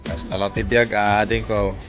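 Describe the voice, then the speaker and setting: A man's voice: on the low side, level tone, lo-fi sound, over music, natural voice. An actor playing a teasing male neighbor in a radio drama, drawing out his words with a playful edge. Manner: teasing, chuckling, drawn-out words.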